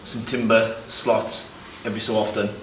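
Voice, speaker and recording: A man giving an informal, explanatory demonstration as a Foley artist, pausing as he shows each item. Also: lowish voice, flat delivery. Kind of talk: informal demonstration of sound-effect props. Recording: echoey, distant mic, loud noise and clicks.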